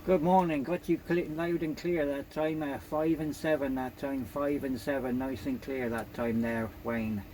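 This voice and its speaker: A man works as a CB operator, calling out and repeating call signs in a mid-pitched voice. He chats casually and signs off politely over a noisy background. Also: steady tone, measured pace, hardly any pauses. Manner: repeats call signs, courteous sign-offs. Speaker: CB radio operator. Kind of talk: radio call-outs and casual contact chat.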